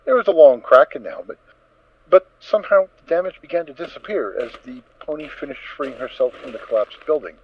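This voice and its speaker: A man narrating a scripted story dramatically, with measured, emphatic phrasing. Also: on the high side, expressive, a little hiss or hum. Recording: dull, dry lo-fi recording.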